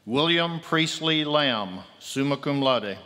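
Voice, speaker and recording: Man, podium announcer, measured and unhurried, slightly high voice, long hall reverb. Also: lively intonation, bright, forceful tone. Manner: slow, measured, ceremonial delivery. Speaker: commencement name reader. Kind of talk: formal reading of graduates' names. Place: large auditorium with long reverb.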